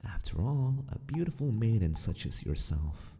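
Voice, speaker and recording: A man playing a sadistic, possessive mad scientist in an ASMR roleplay, gloating and teasing with mock courtesy in a husky near-whisper. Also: lowish voice, big pitch swings, moderate pace, dark tone. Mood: sadistic, mock-tender.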